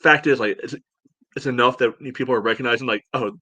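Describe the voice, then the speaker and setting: A man chatting casually as a sports podcast host, breaking down play in a halting, rambling way full of verbal padding. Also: medium pitch, bright, forceful tone, dry recording. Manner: halting, rambling delivery peppered with "you know". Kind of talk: casual sports analysis chat.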